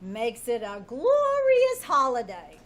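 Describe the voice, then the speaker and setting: A woman's voice: fairly high pitch, huge pitch swings, takes it slowly, a bit bright, exaggerated voice. A woman playing a brash, sardonic maid who wisecracks as a loyal confidante in an old-time radio comedy. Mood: scheming, urging. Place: live performance on a small theater stage.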